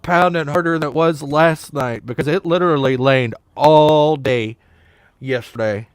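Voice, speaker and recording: A man's voice: a little high, unhurried, smooth, dry recording. A man joining a casual stream chat, rambling and joking bluntly. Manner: rambling, blunt jokey banter.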